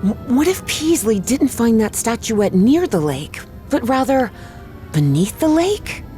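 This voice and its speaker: Expressive, curious young woman explorer. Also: on the high side, unhurried, faint music behind. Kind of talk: video game voice acting.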